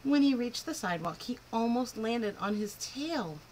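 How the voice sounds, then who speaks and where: A woman reading a picture book aloud to children in an expressive, clearly phrased storytelling style. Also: a little high, moderate pace, a little hiss or hum, low-quality recording. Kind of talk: animated children's story narration.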